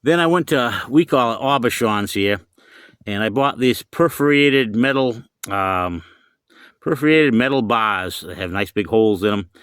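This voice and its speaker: A man's voice: mid-range voice, animated, measured pace, a bit bright, smooth, no room sound, studio quality. A middle-aged or older man from the United States giving a casual, rambling vlog demonstration inside his pickup truck, often repeating himself. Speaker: hobbyist showing his CB radio.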